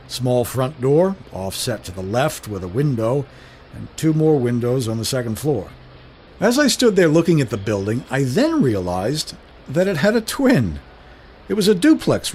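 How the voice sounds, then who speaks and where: A man's voice: mid-range voice, a little hiss or hum, close to an everyday voice. An actor playing a wry, observant 1930s everyman who narrates a radio drama in colloquial, musing first person.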